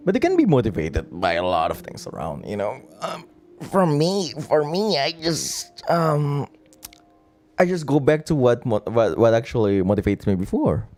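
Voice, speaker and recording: A man's voice: mid-range voice, very animated, moderate pace, a little mellow. A man chatting casually and rambling on a late-night stream, with many restarts and laughs. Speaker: virtual streamer.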